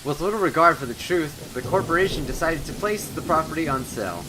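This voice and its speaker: A man's voice: fairly high pitch, lively intonation, noisy background, close to an everyday voice. Man as a solemn horror game storyteller. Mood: foreboding. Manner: dramatic, deliberate reading aloud. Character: solemn storybook narrator of a haunted mansion legend. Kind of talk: dramatic game text narration.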